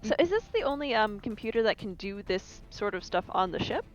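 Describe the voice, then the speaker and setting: A woman's voice: medium pitch, very animated, brisk. A woman roleplaying in a tabletop game, speaking in a formal, precise, wordy way. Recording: slightly dull audio, music behind. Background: music.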